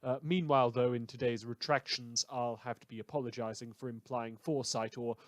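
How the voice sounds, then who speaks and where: A man's voice: mid-range voice, fairly even intonation, brisk, dry recording, close to an everyday voice. A man playing a sardonic, irreverent radio news host in a satirical audio drama, rambling wryly with theatrical emphasis and hesitant asides. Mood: wry, mocking.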